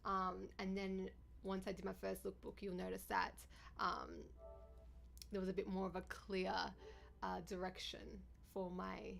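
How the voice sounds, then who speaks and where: A woman with a deep, raspy voice, chatting casually with viewers on a livestream. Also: monotone, a little slow, fairly bright tone. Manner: chatty, bubbly, thanks viewers often. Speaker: livestreamer and YouTuber.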